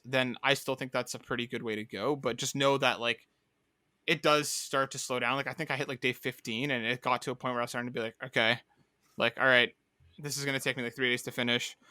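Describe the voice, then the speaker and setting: A man's voice: mid-range voice, fast talker, sharp tone, dry recording, clean studio sound. A man hosting a podcast, chatting casually about video games in a rambling, enthusiastic way. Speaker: podcast host.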